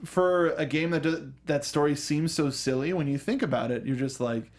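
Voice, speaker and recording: A man's voice: fairly high pitch, moderate pace. A man hosting a casual talk show about films and games, explaining things with asides and acted-out imagined lines. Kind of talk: casual film and video game discussion.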